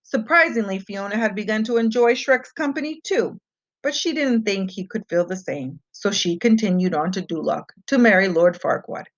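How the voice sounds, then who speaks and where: A woman reads a scripted fairy tale for children. Her voice sits at a middle pitch and she stresses words expressively as a storyteller. The home recording is a bit dull and lo-fi. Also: lively intonation, normal speed. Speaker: storybook reader. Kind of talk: scripted children's read-aloud narration.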